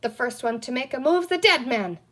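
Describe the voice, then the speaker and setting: A woman voicing a soft-hearted young boy who tries to act tough, blurting earnestly, in a storybook reading. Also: high-pitched, lively intonation, fairly quick, pitched above the performer's own voice. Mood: blustering.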